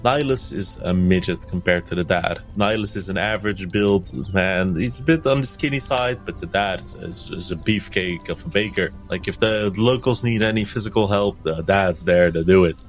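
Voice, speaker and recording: A man's voice: deep voice, moderate pace, hardly any pauses. A man playing a tabletop game, describing what his character does. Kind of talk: narrating own character's actions in roleplay. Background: music.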